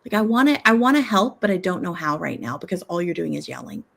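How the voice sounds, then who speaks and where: A middle-aged woman, a parenting expert, giving conversational advice, animated and laughing easily as she acts out what a parent might say. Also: lowish voice, fast talker, vocal fry, breathy edge.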